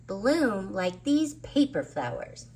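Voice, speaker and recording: A woman voicing an excitable, hopeful little girl in a children's storybook, with expressive, exclaiming delivery. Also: mid-range voice, slow pace, low-quality recording. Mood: eager, wondering.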